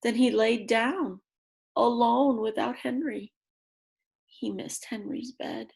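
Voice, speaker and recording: A woman's voice: fairly high pitch, gravelly, darkish tone. A woman reading a picture book aloud to young children, expressively and simply, with chatty asides to her listeners. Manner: slow, simple phrasing with dramatic emphasis and chatty asides. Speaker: children's read-aloud storyteller.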